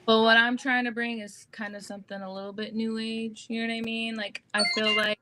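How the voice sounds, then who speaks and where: A woman guest singer talking relaxed in a casual interview chat. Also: medium pitch, background noise.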